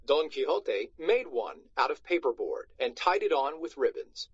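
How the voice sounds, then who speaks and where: A man reading a storybook aloud to schoolchildren in an expressive, dramatic narrating style, on a slightly muffled recording. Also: mid-range voice, normal speed, husky, no echo.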